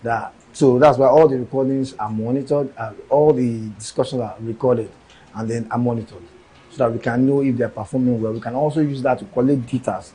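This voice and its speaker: A man, an agency official explaining procedures in a measured way. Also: mid-range voice, steady tone, mellow, slightly rough, rough recording. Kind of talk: news interview answers.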